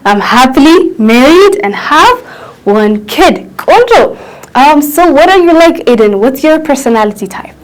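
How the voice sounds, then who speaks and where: A woman in her twenties with an Ethiopian accent, teaching English and switching languages, slowing down to spell out phrases. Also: high voice, very animated, rough recording. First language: Amharic. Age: 20s. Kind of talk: bilingual English lesson.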